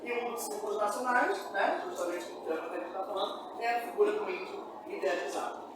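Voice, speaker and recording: A Brazilian man teaches in a didactic, questioning manner, as in a classroom lecture. His voice rings heavily around the room and reaches a distant microphone, with clear noise behind it. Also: high voice, a little fast. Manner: didactic, prompts listeners with questions. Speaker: history teacher. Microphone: distant from speaker. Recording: echoey room, distant mic, noisy.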